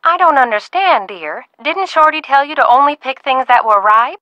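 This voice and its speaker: An actress playing a fussy older aunt who runs a ranch in a video game, genteel and stern, often calling people dear. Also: female voice, sits high, animated, moderate pace, dry recording, lo-fi sound. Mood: prim scolding. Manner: prim, genteel scolding with frequent "dear".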